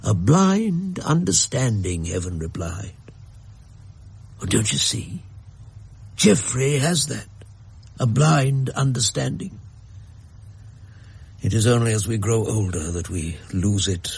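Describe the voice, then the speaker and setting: A gravelly, husky old grandfather, in his older years, talking slowly. He muses gently in a classic radio play. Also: fairly low pitch, expressive, exaggerated voice. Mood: musing. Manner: slow, gentle, musing. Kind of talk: radio drama acting.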